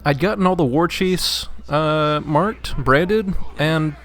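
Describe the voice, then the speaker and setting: A man's voice: on the high side, takes it slowly, a bit bright, slight background noise. Easygoing man chatting about games. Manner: easygoing, hesitant, storytelling with fillers. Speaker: co-commentator. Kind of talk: casual gameplay chat.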